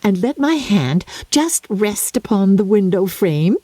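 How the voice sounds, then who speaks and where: A giddy young bride from a classic storybook, acted out by a woman narrator, pitched high and swooping with self-satisfaction. She gushes and boasts, the voice soft-edged rather than crisp. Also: sing-song, unhurried, no room sound. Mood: gleeful, boastful. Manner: gushing, breathless exclamations, giggly.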